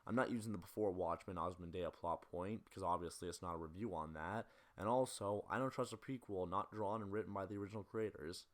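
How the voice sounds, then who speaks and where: A man's voice: sits low, fast, hardly any pauses. A man narrating a scripted analytical video essay as the presenter.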